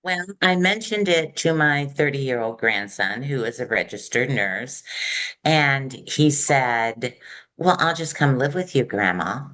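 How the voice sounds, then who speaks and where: A woman's voice: rumbling low, animated, a little slow, fairly bright tone, low-quality recording. An American woman in her seventies telling personal stories in conversation as a podcast guest, candid and acting out bits of dialogue. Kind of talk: reflective personal storytelling in conversation.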